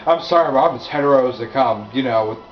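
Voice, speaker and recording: A man's voice: medium pitch, fast. A man co-hosting a gaming podcast, playful and crude, who likes putting on silly voices. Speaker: podcast co-host. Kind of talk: crude casual chat while gaming. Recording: roomy, dull lo-fi audio with game music.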